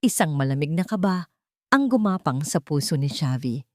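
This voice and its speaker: A woman's voice: deep voice, big pitch swings, normal speed, no room sound, clean studio sound. A woman reading a scripted, dramatic romance story as its narrator.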